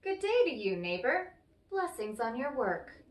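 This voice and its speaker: A lively, raised voice in an echoey room, a woman acting out a cocky young fellow from a folktale. He is bold, scheming and sure of himself. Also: on the high side, measured pace. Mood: falsely sweet. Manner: bold, self-assured. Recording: roomy, echoey, distant microphone.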